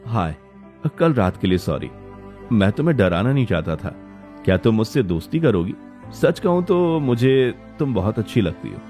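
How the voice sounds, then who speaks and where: A man voicing an earnest young man in a cartoon story, gentle, apologetic and pleading. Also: fairly low pitch, big pitch swings, normal speed. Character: earnest young man seeking a girl's friendship. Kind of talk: Hindi cartoon story voice acting. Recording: music behind the voice.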